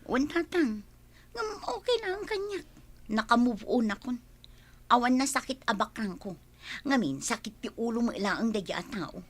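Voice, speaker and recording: A frank young woman in a radio drama, with a fairly high voice. She talks in an emphatic, conversational way and sounds sure of herself. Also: sing-song, moderate pace. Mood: frank, resigned. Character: young single woman, resolute and frank after heartbreak.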